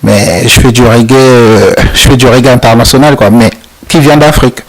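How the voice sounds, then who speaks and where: A man with a Congolese accent, a reggae musician, answers interview questions in a halting way, full of fillers. His pitch sits in the middle range. The recording sounds lo-fi, like radio. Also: fairly even intonation, a little hiss or hum. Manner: hesitant, many "euh" fillers.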